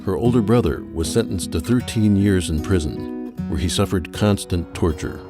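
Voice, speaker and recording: A man reading scripted documentary narration in a measured, solemn way. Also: low voice. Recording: narration over background music.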